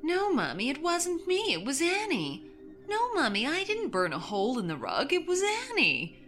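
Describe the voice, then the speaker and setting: Woman as a little girl for a storybook role: high, whiny, insistent, very animated, over music. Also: moderate pace, exaggerated voice. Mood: pleading denial. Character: little girl pleading innocence to her mother.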